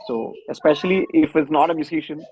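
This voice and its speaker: A man talking casually in an interview, halting as he thinks aloud. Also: on the high side, a little mellow, a little hiss or hum. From India. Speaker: band musician being interviewed. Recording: slightly dull, close dry sound.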